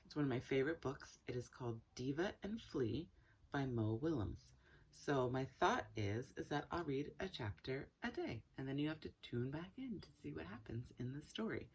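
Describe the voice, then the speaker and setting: A woman with a husky voice reading a children's story aloud and chatting to viewers, with expressive, deliberate phrasing. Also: rumbling low.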